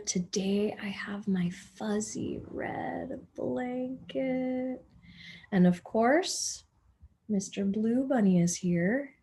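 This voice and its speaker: A woman reading a children's story aloud as a teacher, deliberate and expressive for young listeners. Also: mid-range voice, slightly rough. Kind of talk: storybook read-aloud narration.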